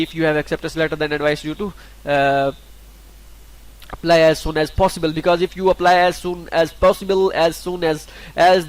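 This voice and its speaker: Halting man. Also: on the high side, low-quality recording. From Pakistan. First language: Urdu. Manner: halting, many fillers and restarts. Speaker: scholarship guide YouTuber. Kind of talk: screen-recorded tutorial walkthrough.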